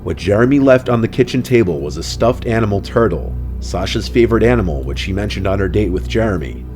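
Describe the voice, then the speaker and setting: A man's voice: on the low side, expressive, quick pace, darkish tone. A man reading scripted horror stories as a narrator. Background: faint music.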